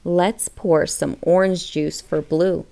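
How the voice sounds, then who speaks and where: A woman's voice: fairly low pitch, steady tone, slow pace, gravelly, warm tone. A woman reading a children's storybook aloud, putting questions to young listeners. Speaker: children's book read-aloud narrator. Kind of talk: scripted storybook read-aloud.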